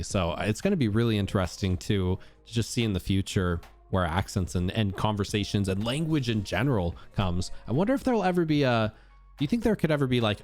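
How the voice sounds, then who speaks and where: A man's voice: on the low side, darkish tone. An American man hosting a podcast interview, easygoing and curious, with plenty of fillers as he asks questions. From United States. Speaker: podcast host. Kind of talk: casual interview.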